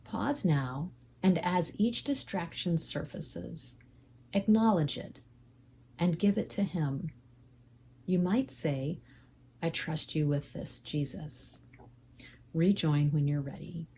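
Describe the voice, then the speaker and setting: Low-voiced woman leading a guided meditation. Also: takes it slowly, pauses often, mellow, a little hiss or hum.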